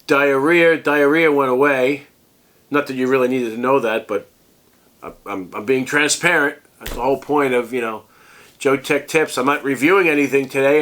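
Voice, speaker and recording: A man's voice: mid-range voice, a bit bright. Congested man rambling in a vlog. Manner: rambling, halting with restarts. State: congested, sick. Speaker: tech review vlogger. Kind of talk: casual vlog monologue.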